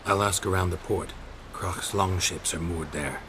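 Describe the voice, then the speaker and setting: An actor playing a gruff, stoic monster hunter in a video game, speaking in terse, understated phrases. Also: male voice, sits low, unhurried. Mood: terse, determined. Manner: flat, clipped, understated. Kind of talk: video game cutscene acting. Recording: clear background noise and ambience.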